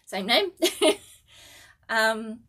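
A woman's voice: a little high, sing-song, very slow, fairly bright tone. A middle-aged Australian woman chatting to camera from her living room, giggly and a little nervous, with lots of fillers and restarts. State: sleep-deprived and a little nervous. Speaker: needlework designer and vlogger. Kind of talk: casual chat to camera.

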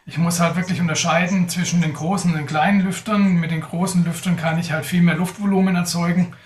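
A man's voice: high voice, steady tone, brisk, recorded from a distance. A young man answering audience questions on a live video call, thoughtful, with many hesitations. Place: indoors in front of a sponsor backdrop. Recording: roomy, off-mic sound.

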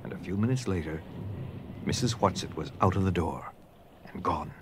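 Expressive male storytelling narrator. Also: deep voice, normal speed, heavy background noise. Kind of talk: scripted dramatic storytelling narration.

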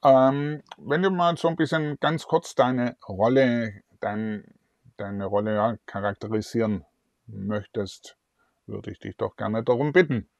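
Chatty middle-aged host. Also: male voice, medium pitch, unhurried, vocal fry, a little mellow, no echo, dull audio. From southwest Germany. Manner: chatty, upbeat, rambling. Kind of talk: online celebrity interview. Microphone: lapel mic.

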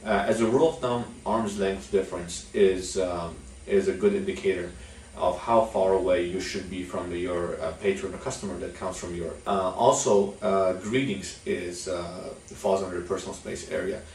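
A young man from Poland, giving a measured instructional talk to camera as a business executive, with many "uh" fillers. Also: sits low, fairly even intonation, darkish tone, a little hiss or hum. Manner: frequent "uh" fillers, measured delivery. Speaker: chamber of commerce executive. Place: office with logo backdrop. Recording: echoey room, mic at a distance.

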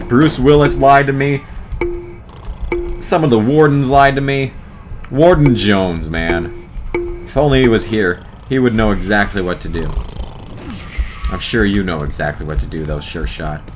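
A man doing a let's play commentary, exasperated, swearing and yelling at the game. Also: mid-range voice. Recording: commentary over loud game music. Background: game music.

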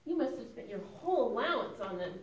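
Woman as a high-pitched earnest girl puppet. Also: very animated, fast, a crowd in the background. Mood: friendly. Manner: earnest, hesitant, dramatic. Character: sensible, earnest young girl puppet, gently moralizing. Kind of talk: children's church puppet show acting. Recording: echoey room, distant mic.

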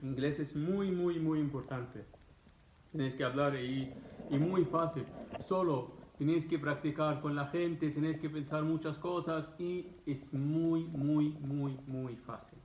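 A man in his thirties with an Egyptian accent, a native Arabic speaker, talking casually to camera in emphatic, halting, repetitive phrases. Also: fairly high pitch, steady tone, slight background noise. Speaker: vlogger answering students' questions. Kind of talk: casual explanatory talk to camera. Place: living room, indoors. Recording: roomy echo, dull muffled sound.

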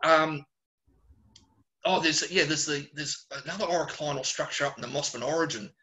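An Australian man, a geologist answering questions informally on a webinar, speaking bluntly and colloquially with animated digressions. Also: fairly high pitch, moderate pace. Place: small closed room at home or office, on a video call.

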